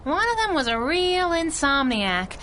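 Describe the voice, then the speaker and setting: An actress playing a feisty, cheeky, quick-witted masked female sidekick in a radio drama. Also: high voice, big pitch swings, pushed and bright, slight background noise, exaggerated voice. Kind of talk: 1930s-set radio drama acting.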